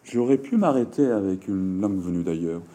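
Guy talking french in an interview, husky and lowish voice, lots of hesitant pauses. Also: lively intonation, dark tone. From Japan. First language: Japanese. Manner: hesitant, halting, with frequent fillers and restarts. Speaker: writer and French teacher, interview guest. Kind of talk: interview answers.

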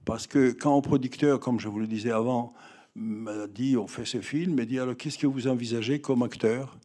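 An older man looks back on his early career in a relaxed, mellow voice. He talks haltingly, with many fillers and restarts. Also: medium pitch. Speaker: film director interviewed. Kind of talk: reminiscing interview answers.

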